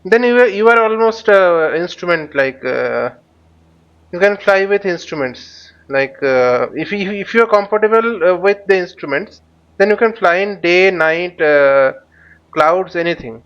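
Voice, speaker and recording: A man giving live tutorial commentary as he flies a simulator, speaking haltingly and often repeating or rephrasing himself. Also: high voice, lively intonation, fairly bright tone, clear, resonant tone, lo-fi sound. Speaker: flight simulator instructor and channel host.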